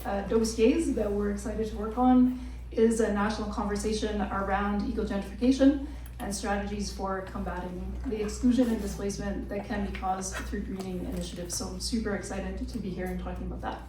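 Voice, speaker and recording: A woman panelist introducing her work, talking in a measured way with many fillers. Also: medium pitch, fairly even intonation. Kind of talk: panel talk. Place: crowded hall. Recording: echoey room, distant mic, faint noise.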